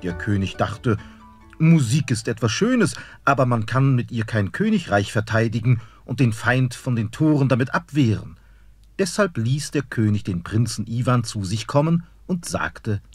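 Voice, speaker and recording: A man narrating a fairy tale in a measured storytelling manner. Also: mid-range voice, lively intonation, breathy edge, no echo, soft background music.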